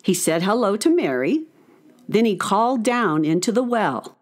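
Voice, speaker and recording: A woman's voice: medium pitch, expressive, normal speed. A woman narrating a scripted story for children.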